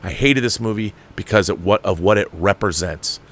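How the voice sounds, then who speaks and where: A man's voice: lowish voice, moderate pace, fairly bright tone. A man delivering an unscripted film rant as a show host, emphatic, exasperated and profane, repeating phrases for emphasis. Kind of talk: ranting film commentary, unscripted.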